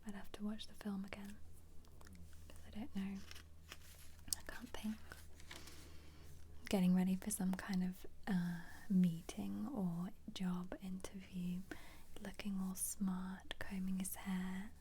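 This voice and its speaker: A woman speaking as an ASMR host in a gentle whisper, musing casually and without hurry as she flips through a book. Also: medium pitch, little pitch movement, slow, pauses often, a little hiss or hum. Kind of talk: soft-spoken ASMR book flip-through.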